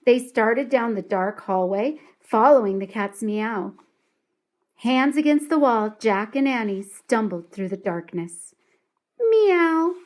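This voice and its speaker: Slow-paced woman reading a children's story. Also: fairly high pitch, darkish tone. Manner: deliberate, dramatic storytelling for children. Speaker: teacher reading a children's book aloud. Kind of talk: expressive read-aloud story narration.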